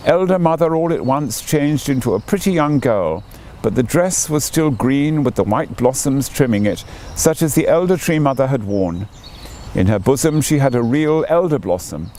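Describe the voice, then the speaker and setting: A man reading a fairy tale aloud as scripted storybook narration, in an expressive storytelling manner. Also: mid-range voice, a little hiss or hum. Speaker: audiobook reader.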